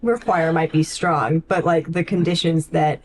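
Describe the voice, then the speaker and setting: Low-voiced woman, thoughtful podcast chat, some noise. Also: fairly even intonation. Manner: thoughtful, halting phrasing with frequent "like". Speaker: podcast co-host. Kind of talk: casual literary discussion.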